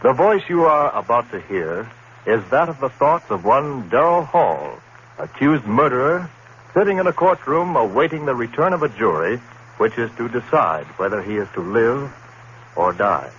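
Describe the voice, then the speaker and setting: A man delivers a formal scripted radio introduction with dramatic flair. His pitch moves widely, and the old broadcast sounds dull and noisy. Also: sing-song, a bit bright. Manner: dramatic, theatrical, measured phrasing. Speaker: radio announcer.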